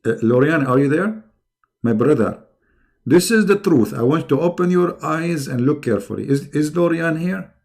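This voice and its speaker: A man's voice: fairly high pitch, animated, normal speed, creaky, a little mellow. A middle-aged man who speaks English as a second language hosts a live online debate in a mocking, emphatic, sarcastic way. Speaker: debate host.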